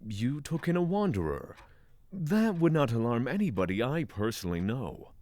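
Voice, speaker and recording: A man's voice: mid-range voice, sing-song, normal speed, darkish tone, no echo, lightly acted. A man playing a courteous, skeptical spiritual traveller in a fantasy audio drama, with formal, dryly inquisitive phrasing. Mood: questioning.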